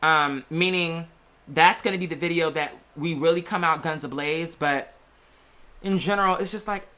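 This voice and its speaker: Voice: medium pitch, moderate pace, pushed and bright. An American content creator chatting casually and candidly, rambling and repeating phrases for emphasis. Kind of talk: casual personal chat.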